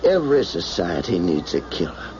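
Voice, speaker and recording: A weighty, insistent president in a radio play, weary with duty yet persuasive. His delivery is very animated, on a slightly muffled broadcast with faint noise. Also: deep voice, moderate pace. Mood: grave, insistent. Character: male president, weary, persuasive, pragmatic leader burdened by duty. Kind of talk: radio drama acting.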